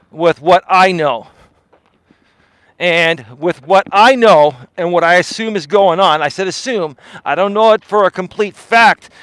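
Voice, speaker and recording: A man with a fairly high voice rants to his live-stream audience, blunt and argumentative, and keeps qualifying his points. The sound is dry and close, with no room echo, captured on a mobile mic while he walks outdoors. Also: a bit bright. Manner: blunt, argumentative, repeats "in my opinion". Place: outdoors on a walk.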